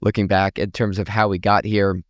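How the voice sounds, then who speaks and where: A man's voice: lowish voice, level tone, normal speed, no echo, clean studio sound. A man hosting a podcast, interviewing a guest with friendly prompting questions. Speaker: podcast host. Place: conference exhibition booth.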